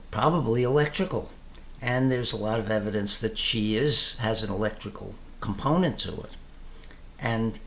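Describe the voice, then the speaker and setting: A man thinking aloud in an informal explanatory monologue, halting and reflective, often pausing mid-phrase. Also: medium pitch, creaky, fairly bright tone, slight background noise. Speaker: tai chi teacher.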